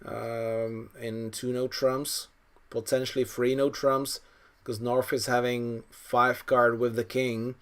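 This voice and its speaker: A man around forty giving live bridge commentary as a coach, thinking aloud in an analytical, unhurried way. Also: medium pitch, little pitch movement, a bit bright, clear, resonant tone. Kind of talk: live card game commentary.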